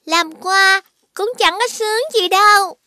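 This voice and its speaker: A woman's voice: extremely high pitch, a little slow, pushed and bright, no room sound, clean studio sound, exaggerated voice. A woman voicing a small, kindly, wise garden flower in a children's cartoon, earnest and childlike. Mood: chiding. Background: children's music.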